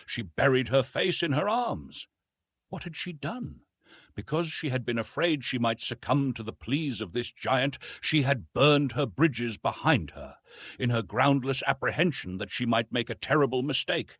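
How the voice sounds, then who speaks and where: A man with a slightly husky voice narrating a classic adventure novel in an expressive, carefully phrased, dramatic storytelling style. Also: mid-range voice, no room sound. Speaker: audiobook narrator.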